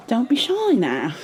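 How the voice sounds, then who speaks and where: A woman's voice: fairly high pitch, slight background noise, full character voice. Woman playing a chatty, kindly tavern keeper. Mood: reassuring. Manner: rambling and gentle, many fillers, drifting into whispers. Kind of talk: ASMR roleplay.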